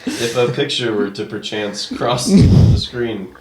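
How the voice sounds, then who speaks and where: A man's voice: mid-range voice, takes it slowly, a crowd in the background. A young man co-hosting a podcast who throws in joking, teasing interjections during casual banter. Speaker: podcast co-host.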